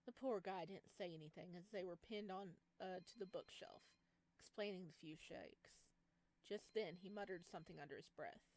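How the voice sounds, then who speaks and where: A woman streaming a visual novel, reading the game text aloud and breaking in with expressive, exclaiming reactions. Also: lowish voice, normal speed. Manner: expressive, exclaiming in bursts between read passages.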